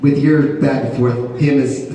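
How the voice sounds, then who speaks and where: A man bantering on a convention panel, playful and animated, leading the conversation. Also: medium pitch, a little slow. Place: large convention hall. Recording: echoey hall from far off, loud crowd.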